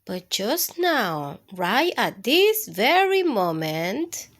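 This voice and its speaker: A small child boasts about being tall, strong and brave, as a woman reads her lines in a storybook. The voice is a bit high, with a childlike, bragging lilt. It moves slowly and its pitch swings widely. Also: big pitch swings, fairly bright tone, heavily acted. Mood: boastful. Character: small child who insists she is big and brave.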